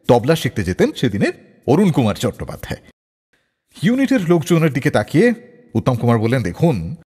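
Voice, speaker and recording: A man's voice: on the high side, darkish tone, breathy edge. A man hosting a radio show, telling anecdotes in a theatrical way and acting out dialogue. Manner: theatrical, acts out quoted dialogue. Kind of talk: dramatic anecdotal storytelling narration. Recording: long reverb over music bed. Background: background music.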